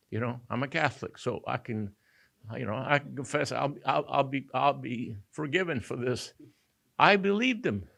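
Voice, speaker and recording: Older guy on a panel, gravelly voice, kinda halting, restarts a lot. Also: medium pitch, a bit bright. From Cuba. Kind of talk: roundtable remarks.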